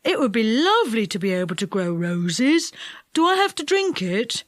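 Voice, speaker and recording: A woman voicing a cheerful, hard-of-hearing old man in a storybook, speaking slowly and a bit muddled. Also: on the high side, big pitch swings, no echo, studio quality. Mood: pleased. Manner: slow, pleased and a bit muddled. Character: deaf, cheerful old man who mishears.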